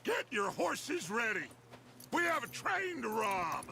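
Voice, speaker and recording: An actor playing a charismatic middle-aged outlaw gang leader in a video game, speaking in a grandiose, persuasive way. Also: male voice, extremely high pitch, big pitch swings, takes it slowly, gravelly, full character voice. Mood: commanding. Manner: grandiose, persuasive, theatrical speechmaking. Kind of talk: video game cutscene dialogue. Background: game soundtrack music.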